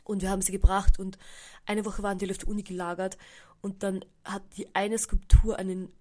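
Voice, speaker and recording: A woman's voice: medium pitch, flat delivery, a little fast, warm tone. A young Austrian woman hosting a podcast, chatting casually with a stuffy nose from a cold and mixing English words into her rambling. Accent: Austrian German. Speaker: podcast host. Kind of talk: casual solo chat. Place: indoors at home.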